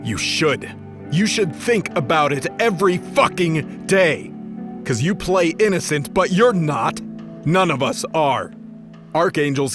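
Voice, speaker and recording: A man acts out a bitter, foul-mouthed fallen archangel in an audio drama. He speaks at a slow pace, cynical and quick to temper, over clearly audible music. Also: on the high side. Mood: angry, accusing. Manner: cynical, combative, cursing. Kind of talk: dramatized audio fiction acting. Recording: music behind the voice.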